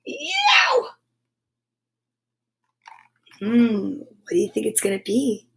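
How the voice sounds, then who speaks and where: A woman hosting children's storytime, reading a picture book aloud with playful, drawn-out sound effects and repeated words. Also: fairly high pitch, wildly swooping pitch, creaky, a bit bright, poor audio.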